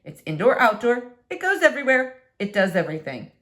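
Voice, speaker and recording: A woman's voice: a little high, very animated, a little fast, a bit bright. A woman voicing an enthusiastic, boastful storybook husband with a salesman-like delivery. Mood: proud. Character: enthusiastic husband showing off a vacuum cleaner gift.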